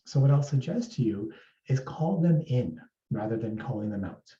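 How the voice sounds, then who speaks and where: A man from East Africa giving a measured, explanatory workplace presentation as an HR speaker. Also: mid-range voice, expressive, warm tone. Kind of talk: presentation talk. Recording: roomy, distant, dull sound.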